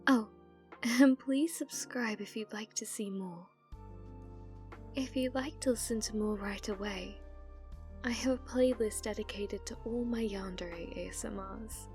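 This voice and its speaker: British woman, ASMR creator, soft-spoken outro, slightly high pitch, unhurried, gentle, occasional whisper. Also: faint music behind.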